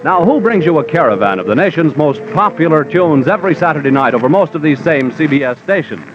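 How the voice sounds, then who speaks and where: A man's voice: a little high, lively intonation, fast talker. A man with an American accent reading scripted credits and promotions in 1940s radio announcing style. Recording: muffled old radio recording with music.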